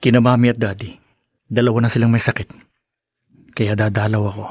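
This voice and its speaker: An actor playing a long-suffering young husband and dutiful son, earnest and weary, in radio drama style. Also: male voice, on the low side, flat delivery, a little fast, a little mellow, breathy edge, no room sound.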